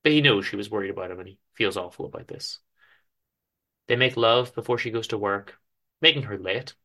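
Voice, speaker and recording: Man reading dramatically, bright forceful voice. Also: fairly low pitch, brisk. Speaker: host reading his show's story.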